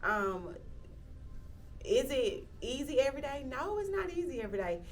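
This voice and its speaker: A woman, speaking reflectively in an interview, often pausing with "um" and restarting sentences. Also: high-pitched, big pitch swings, distant mic. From Athens, Georgia, US. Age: 30s. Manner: reflective, with frequent "um" fillers and restarts. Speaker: interviewee, local county commissioner. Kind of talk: casual interview talk.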